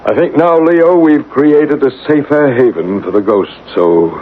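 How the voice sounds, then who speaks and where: An actor playing an earnest, solemn psychic investigator, narrating and acting deliberately in a 1970s radio drama. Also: male voice, medium pitch, lightly acted. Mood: calm, assured. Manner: deliberate, dramatic, halting at tense moments. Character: earnest, solemn male psychic investigator telling his ghost story. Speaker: narrator and lead character. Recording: tinny, noisy vintage radio broadcast recording.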